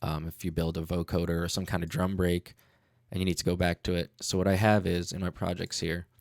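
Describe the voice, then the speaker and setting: A man's voice: deep voice, flat delivery, a little fast, vocal fry, no echo. A man hosting a casual livestream tutorial as a music producer, talking in a laid-back, slangy way into a studio microphone.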